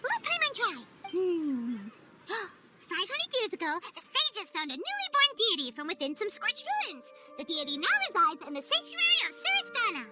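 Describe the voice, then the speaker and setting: A woman voicing a small, childlike, chirpy female fairy companion in an animated video game style, full of exclamations. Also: very high-pitched, moderate pace, loud music behind the voice, full character voice. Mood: eager, curious. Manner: speaks of herself in the third person, exclaiming.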